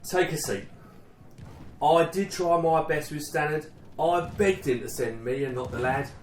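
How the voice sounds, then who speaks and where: A man's voice: fairly high pitch, unhurried, distant mic, close to an everyday voice. A man playing a dutiful, careworn British army sergeant in a war radio play, firm, earnest and paternal. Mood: pleading, grave. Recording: roomy, off-mic, faint background noise.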